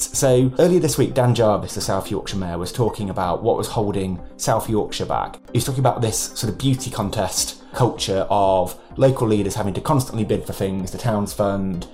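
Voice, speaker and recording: Man, interviewer, low voice, mellow tone, faint music in the background. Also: moderate pace, hardly any pauses. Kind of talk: conference interview.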